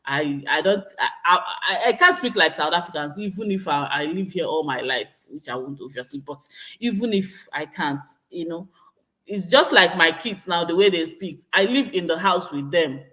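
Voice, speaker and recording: A woman's voice: on the low side, animated, moderate pace, sharp tone, poor audio. A woman in her thirties from Nigeria with a Nigerian accent, chatting to her viewers and reading their comments, laughing often and repeating her exclamations. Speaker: vlogger.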